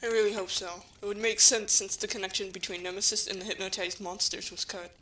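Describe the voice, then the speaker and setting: A woman's voice: mid-range voice, flat delivery, quick pace, pushed and bright, slight background noise, natural voice, low-quality recording. A woman playing an earnest, determined young human hero who protects friends, in an audio drama. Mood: calm, hopeful. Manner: earnest, firm.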